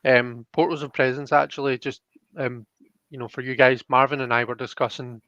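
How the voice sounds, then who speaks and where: Man, halting chat, dull dry sound. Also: mid-range voice, level tone, bright, forceful tone, dry recording. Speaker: host and tarot card reader. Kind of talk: casual live card reading chat.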